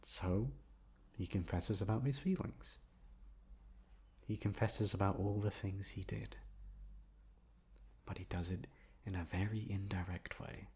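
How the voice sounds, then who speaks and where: A man voicing an obsessive, jealous, lovesick young man in an intimate ASMR roleplay, soft-spoken, wounded and menacing. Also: deep voice, fairly even intonation, a little slow, pauses often, husky, exaggerated voice. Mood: confessing, anxious.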